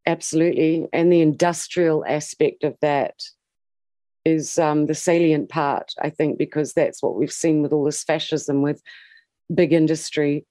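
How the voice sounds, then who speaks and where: A woman's voice: flat delivery, unhurried, mellow, no echo. A woman speaking earnestly and with passion on a political radio panel. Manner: earnest, impassioned, rising pitch when stirred.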